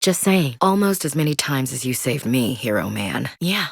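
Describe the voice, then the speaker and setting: An actress voicing a tough, blunt young female soldier in a video game, plainspoken and loyal, shouting short orders in combat. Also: very deep voice, big pitch swings, normal speed, gravelly, breathy edge, no echo, clean studio sound, full character voice. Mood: candid. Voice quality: plainspoken and direct. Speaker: squad soldier. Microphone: close studio mic.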